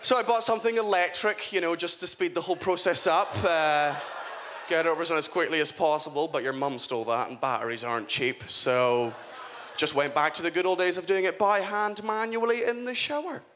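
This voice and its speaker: A man's voice: fairly high pitch, brisk, fairly bright tone, slight background noise, voice pushed up, lightly acted. A young man doing his blunt dad. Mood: earnest advice. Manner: matter-of-fact fatherly advice. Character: blunt middle-aged Scottish dad giving an awkward talk.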